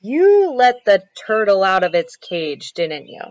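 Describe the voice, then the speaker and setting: A stern village father read by a woman, commanding and deliberate. The storybook dad's voice is fairly high and very expressive. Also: no room sound, highs cut off.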